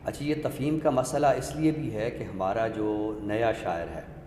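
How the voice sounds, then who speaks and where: A man's voice: on the low side, mellow. Scholarly Pakistani man in an echoey hall. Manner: scholarly, explanatory, with deliberate phrasing. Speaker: co-host discussing Urdu literature. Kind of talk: literary talk with poetry recitation. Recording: echoey hall, audible background noise.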